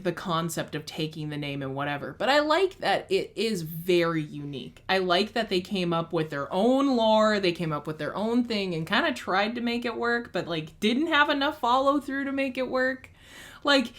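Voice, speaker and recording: Enthusiastic woman gushing in casual chat. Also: medium pitch. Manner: enthusiastic, opinionated, quick bursts of excitement. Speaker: podcast co-host.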